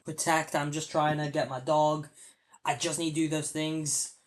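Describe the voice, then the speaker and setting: A man hosting a film podcast, talking casually in a rambling, halting way with many restarts. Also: on the high side, level tone. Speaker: podcast host. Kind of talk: casual film review chat.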